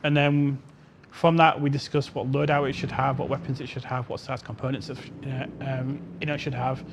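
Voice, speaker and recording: A man's voice: medium pitch, flat delivery, a little fast, slight background noise. A man giving an unscripted interview answer as a game developer, explaining in a hedging way and often repeating "you know".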